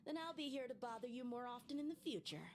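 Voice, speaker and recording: A woman's voice: fairly high pitch, expressive, fast, noisy background. A woman playing an eager, earnest young swordswoman in a video game, full of wonder. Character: bright, eager young female swordswoman seeking opponents.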